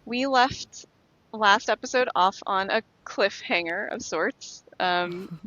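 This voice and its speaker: A woman's voice: medium pitch, slow, a bit bright, no echo. A woman co-hosting a podcast in a casual, conversational way, on a dry, slightly dull recording. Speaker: podcast co-host.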